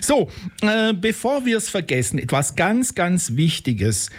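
A middle-aged or older man with a husky voice, hosting a casual radio interview in a rambling, conversational way. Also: high voice, big pitch swings, slow. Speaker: radio host.

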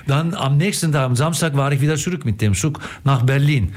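A middle-aged or older man from Istanbul with a Turkish accent, telling stories as a radio guest, haltingly and repeating words for emphasis. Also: mid-range voice, a little mellow. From Istanbul, Turkey. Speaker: interview guest, theatre director. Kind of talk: casual storytelling in an interview.

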